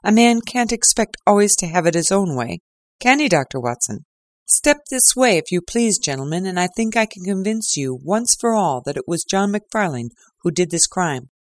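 A reader voicing a pompous, triumphant male police inspector who talks loudly and gloats. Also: mid-range voice, animated, moderate pace, no echo, clean studio sound, pitched above the performer's own voice, lightly acted. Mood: gloating. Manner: loud, gloating, crowing.

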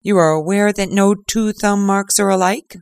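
A reader voicing a pompous, triumphant male police inspector who talks loudly and gloats. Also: medium pitch, steady tone, moderate pace, smooth, dry recording, voice pushed up, close to an everyday voice. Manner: loud, gloating, crowing.

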